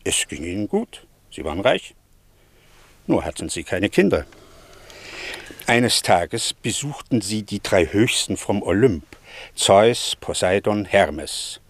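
An actor playing a stern, needling Greek sea captain in a German radio play, telling a tale pointedly with rhetorical questions. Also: male voice, lowish voice, very animated, takes it slowly, pauses often, dry recording, full character voice. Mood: pointed storytelling.

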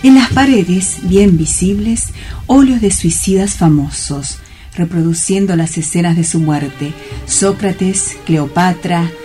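A woman's voice: fairly low pitch, warm tone. A woman narrating a radio drama, reading scripted scene descriptions. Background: music.

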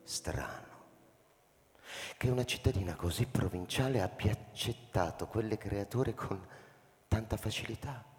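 An actor playing a thoughtful, uneasy school principal, narrating in a measured radio-drama manner with pauses. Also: male voice, on the low side, darkish tone, lightly acted. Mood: brooding, uneasy. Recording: reverberant hall, music bed underneath. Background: music.

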